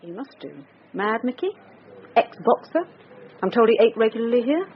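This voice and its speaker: An actress playing a wry, probing police detective with an English accent in a naturalistic radio drama. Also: female voice, a little high, very animated, moderate pace. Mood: brisk, insistent. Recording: dull radio recording with hiss.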